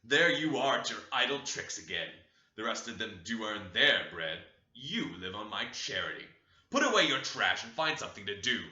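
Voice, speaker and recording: A man's voice: fairly low pitch, sing-song, moderate pace, exaggerated voice. Man putting on a harsh, grief-torn landlord. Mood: raging. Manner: sobbing, pleading; loud and raging. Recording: roomy, dull lo-fi recording.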